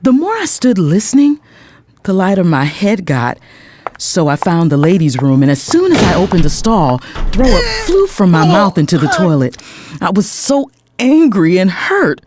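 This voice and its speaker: A woman playing an indignant lawyer who narrates her own story in the first person, dramatic and expressive, with faint background noise. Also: on the low side, moderate pace. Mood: hurt, indignant.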